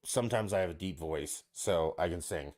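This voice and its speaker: A man's voice: sits low, moderate pace, darkish tone. A man co-hosting a podcast, asking crude questions and bragging in casual banter, a little tipsy. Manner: brash, joking, boastful.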